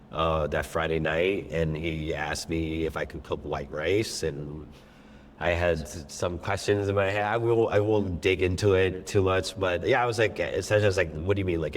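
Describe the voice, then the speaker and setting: Deep-voiced chef. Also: male voice, a little hiss or hum. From born in Thailand, raised in New York. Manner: rambling, many fillers and restarts. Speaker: chef and restaurant owner, guest. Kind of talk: casual interview storytelling.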